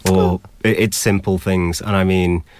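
A man's voice: low-pitched, level tone, a little slow, darkish tone, a little hiss or hum. A young man with a British accent giving an articulate, thoughtful personal account as an interview guest. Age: young adult. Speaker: interview guest sharing his experience.

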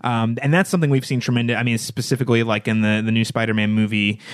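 A man hosting a film podcast, talking quickly and agreeably as he moves the conversation along. His voice sits in a middle pitch. The sound is close and dry. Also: steady tone, dry recording. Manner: agreeable, brisk, steers the talk. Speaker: podcast host. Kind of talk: casual podcast discussion.

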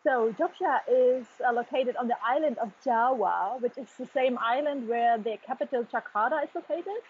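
Husky, high-voiced German woman, muffled call. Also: moderate pace. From eastern Germany. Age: middle-aged. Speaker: podcast guest. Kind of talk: conversational interview answers. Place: half-open office in tropical rain. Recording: dull, muffled remote call.

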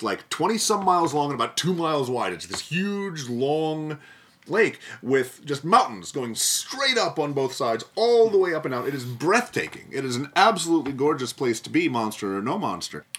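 A man with a bright, clear-cut voice talks casually on a podcast panel. He sits in a middle pitch and tells his stories in an animated, conversational way. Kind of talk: casual chat about a TV show.

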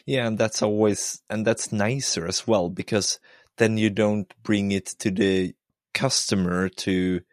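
Low-voiced Swedish man hosting. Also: a little slow, no room sound, clean studio sound. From Sweden. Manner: relaxed, agreeable, a little hesitant. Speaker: host. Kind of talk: casual tech interview.

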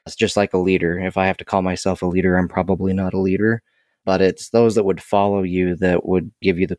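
A man answering interview questions about his work as a safety director, in a measured, reflective way. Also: low voice, no echo, clean studio sound. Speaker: podcast guest, safety director.

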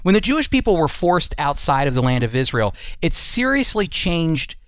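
An American man speaks in a somewhat high-pitched voice as the host of a teaching program. He explains things earnestly, with emphasis and rhetorical questions. The sound is dry, with very little room around the voice. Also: very animated, normal speed, smooth, no echo. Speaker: radio Bible teaching host. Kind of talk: teaching talk with scripted segments.

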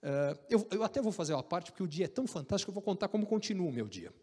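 A man with a Brazilian Portuguese accent telling an animated, joking story about his career, with frequent restarts. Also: high-pitched, rapid-fire, in a large hall. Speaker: speaker recounting career story. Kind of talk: casual storytelling talk.

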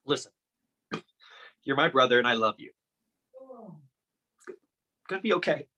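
Young man as a stammering teen boy, bright, husky and very up-and-down. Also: mid-range voice, animated, moderate pace. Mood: pleading. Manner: stammering, emotional, voice breaking into outbursts. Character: distraught teenage boy Noah, anxious student. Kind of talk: student audio drama.

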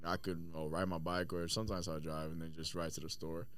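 A man's voice: low-pitched, flat delivery, fairly quick, crowd noise. A man who coaches boxing, talking casually as a podcast guest in a studio, slangy and emphatic with some swearing. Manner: slangy, profane, emphatic, often says "bro". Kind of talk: casual chat.

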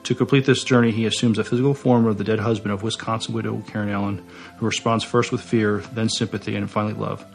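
Gravelly, lowish-voiced man co-hosting a podcast. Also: flat delivery, soft background music. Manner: halting, repeats words while searching for phrasing. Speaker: podcast co-host. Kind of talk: casual chat, reading notes aloud.

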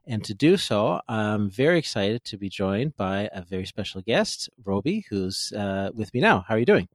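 A man hosts a podcast in an easygoing, chatty manner. His voice is slightly low, and it sounds dry and close. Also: moderate pace, dry recording. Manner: relaxed, friendly, with fillers like "you know". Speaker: podcast host. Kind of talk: casual interview.

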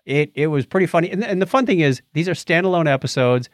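Middle aged guy on a podcast, rambly casual review chat, really lively pitch, no room echo. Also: quick pace, clean studio sound. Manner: rambling, groping for names. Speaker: podcast co-host.

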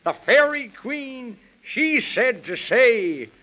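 A very high, piercing moon man frets kindly in rhyme, full of fussy exclamations. The delivery is slow, theatrical 1930s children's radio acting. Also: lively intonation, sharp tone, exaggerated voice. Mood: worried.

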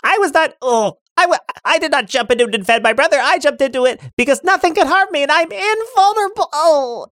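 A man's voice: extremely high pitch, expressive, a little fast, hardly any pauses, bright, forceful tone, no room sound, studio quality, voice pushed up, heavily acted. A man voicing a proud young boy who makes grandiose declarations. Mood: boastful. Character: boastful haughty young boy who claims invulnerability.

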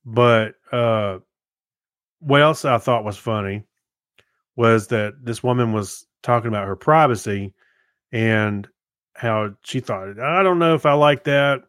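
A man co-hosting a podcast in casual chat, talking in a halting, repetitive, folksy and joking way. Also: medium pitch, fairly bright tone, clear, resonant tone, no echo, studio quality. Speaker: podcast co-host.

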